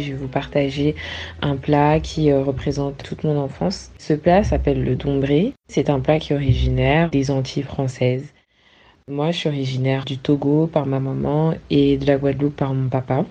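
A woman sharing a family recipe story in a hesitant way, with many filler pauses. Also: sits low, mellow, breathy edge, lo-fi sound.

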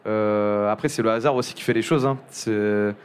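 A young man speaking casually as an interview guest, halting and restarting often, with many fillers. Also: on the low side, fairly even intonation, a little hiss or hum. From Montpellier area. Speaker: journalist guest.

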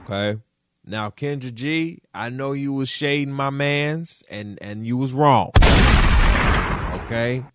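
A podcast host from Detroit doing solo commentary, roasting people in a slangy, playful way with lots of repetition. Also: male voice, medium pitch, slow, clear, resonant tone, no room sound, studio quality.

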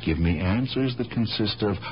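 An actor playing an exasperated police detective and devoted nephew, blunt, wry and pleading, in the style of 1970s radio drama. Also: male voice, fairly low pitch, animated, moderate pace, natural voice. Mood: exasperated, urgent. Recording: dull, noisy 1970s radio broadcast recording.

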